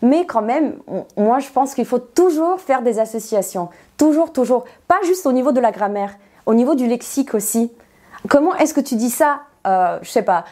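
Mellow-voiced teacher, woman. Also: a little high, lively intonation, moderate pace. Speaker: non-native French teacher, interview guest. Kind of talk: conversational explanation in an interview.

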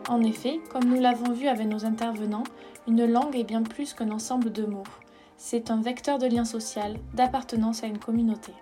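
A woman reading scripted narration in a smooth, formal way. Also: a little high, fairly even intonation, normal speed, warm tone, faint music behind.

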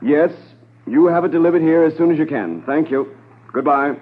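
An actor playing an authoritative police inspector, measured and commanding, in 1950s radio drama style. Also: male voice, a little high, close to an everyday voice. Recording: noisy, narrow-band 1950s radio transcription.

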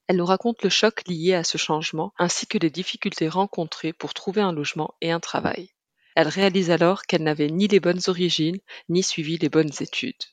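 A woman's voice: fairly low pitch, dry recording. A woman podcast host reading a scripted narration in French, recorded close.

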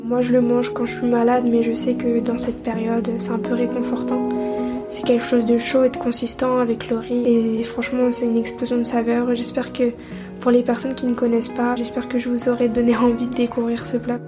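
Higher-voiced woman, fairly even intonation. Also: fairly quick, loud music behind the voice. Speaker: podcast listener guest. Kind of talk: casual personal account.

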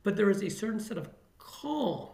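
A man's voice: high-pitched, big pitch swings, moderate pace, a little mellow, echoey room. A middle-aged man from the Chicago area, an emergency physician giving earnest, motivational interview answers and repeating phrases for emphasis.

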